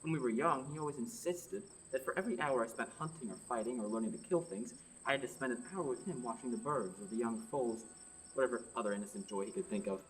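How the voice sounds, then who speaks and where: A woman's voice: rumbling low, quick pace, poor audio. A young woman acting a teasing, battle-hardened young warrior on a quest in a radio play. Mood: regretful, wistful. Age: young adult. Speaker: student actor. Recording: clear background noise, night insects.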